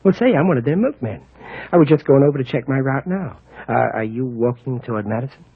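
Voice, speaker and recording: A husky, brash New milkman, tough-talking but soft-hearted. It is old-time radio acting, recorded dry with a dull vintage sound. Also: medium pitch, lively intonation, quick pace, no echo. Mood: smitten, flustered. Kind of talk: 1940s radio comedy-drama acting.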